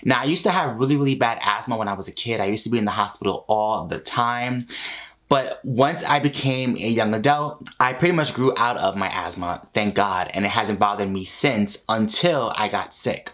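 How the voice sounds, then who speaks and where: A man in his thirties talks straight to the camera from a small home studio, recounting his story in an animated, expressive way. His voice sits on the lower side, with laughs slipping in between lines. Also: moderate pace. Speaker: vlogger.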